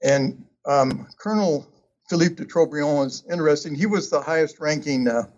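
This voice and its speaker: A man talking about his book in a casual, hesitant way, with many ums and uhs and frequent pauses. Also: fairly high pitch, a little mellow. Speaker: author discussing his book. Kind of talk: casual conversational talk. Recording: dull lo-fi sound.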